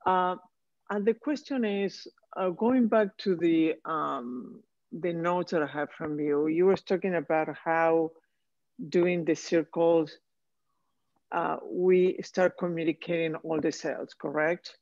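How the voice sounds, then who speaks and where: A woman's voice: lowish voice, takes it slowly, a little mellow, no echo. A woman from Argentina with an Argentine Spanish accent, a participant on a video call, speaking hesitantly with many fillers. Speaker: course participant. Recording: dull, dry video call audio.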